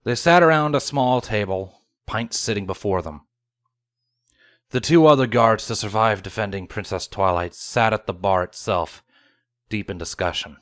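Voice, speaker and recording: A man's voice: on the low side, moderate pace, a bit bright, no room sound, clean studio sound. A man reading a scripted story aloud as its narrator. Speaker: fan fiction reader.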